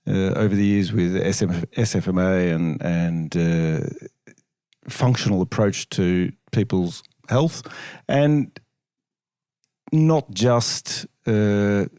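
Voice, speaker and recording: An Australian-accented man answering interview questions casually, with measured, hesitant phrasing and dry humour. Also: deep voice, warm tone, clean tone, no echo. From Melbourne, Australia. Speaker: interview guest, chiropractor and researcher.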